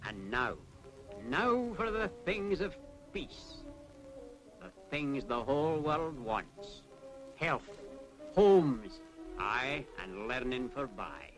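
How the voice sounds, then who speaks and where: Slow, declamatory Scottish orator. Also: male voice, mid-range voice, big pitch swings, rough recording. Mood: solemn, oratorical. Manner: declamatory, deliberate. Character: earnest, oratorical Scottish man expounding national duty. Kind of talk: 1940s public information film narration. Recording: 1940s film soundtrack with faint music.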